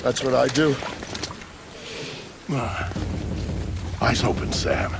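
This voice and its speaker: An actor playing a gruff, wisecracking veteran military helicopter pilot in a video game, blunt and profane. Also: male voice, medium pitch, moderate pace, background noise, natural voice. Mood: tense, gritty. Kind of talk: video game cutscene acting. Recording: loud music over the dialogue.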